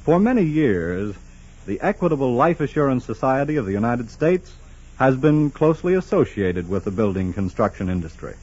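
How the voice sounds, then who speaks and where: A man's voice: mid-range voice, very animated, moderate pace, darkish tone, slightly rough. A man announcing for a sponsor in an emphatic, formal, polished 1940s radio style. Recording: muffled 1940s radio broadcast.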